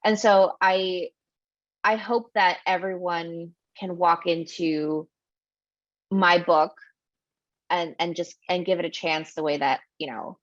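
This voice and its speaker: Low-voiced woman author on a muffled call. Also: steady tone, slow, no echo. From Ecuador, raised in Queens, New York. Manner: thoughtful, digressive, many fillers and restarts. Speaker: author guest. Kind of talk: virtual book event conversation. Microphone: video call. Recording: dry, muffled video-call audio.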